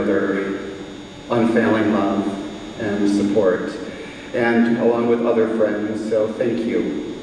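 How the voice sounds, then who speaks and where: A chatty man talks slowly and easily to an audience between his songs. He is recorded from far off in an echoing hall, with soft instrument music behind him. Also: mid-range voice, a little hiss or hum. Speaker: singer and composer. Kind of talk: live concert talk and singing. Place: performance hall. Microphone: room mic at a distance.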